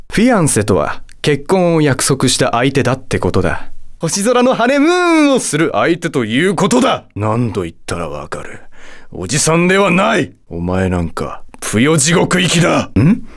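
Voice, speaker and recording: A man voicing a haughty, hot-tempered, lovestruck demon lord in a video game, with a theatrical, condescending delivery. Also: mid-range voice. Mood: annoyed, haughty. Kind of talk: Japanese video game character voice acting.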